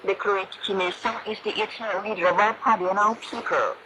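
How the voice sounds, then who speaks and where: A woman radio announcer reading a formal, solemn news bulletin in a measured way, heard over shortwave radio. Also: medium pitch, steady tone. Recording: noisy shortwave radio reception with static. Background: shortwave static and noise.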